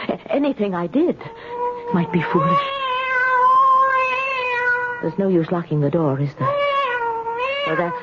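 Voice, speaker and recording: A muffled, noisy seventies radio recording carries a frightened, lonely old spinster. Her delivery swings widely in pitch, halting and repeating words as she frets through a mystery drama. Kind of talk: 1970s radio mystery acting. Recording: noisy, dull 1970s radio broadcast recording.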